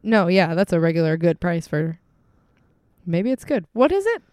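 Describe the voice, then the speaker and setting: A woman's voice: fairly low pitch, very animated, moderate pace, a little mellow, no echo, studio quality. A young woman co-hosting a podcast in casual, chatty, giggly talk. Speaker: podcast co-host. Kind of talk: casual chat.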